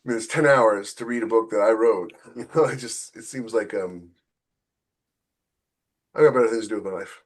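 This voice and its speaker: A man's voice: fairly low pitch, moderate pace, pauses often. Casual, wry male author co-hosting a podcast. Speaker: novelist and podcast co-host.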